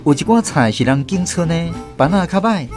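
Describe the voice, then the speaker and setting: Animated male storyteller with Taiwanese accent. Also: on the high side, fairly quick, soft background music. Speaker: first-person narrator. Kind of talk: scripted storytelling narration.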